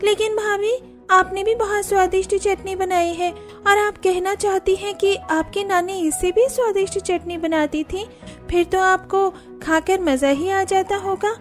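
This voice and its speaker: Woman doing a very high-pitched, chatty young female in-law. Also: steady tone, measured pace, faint music behind. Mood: admiring. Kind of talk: cartoon family drama dialogue.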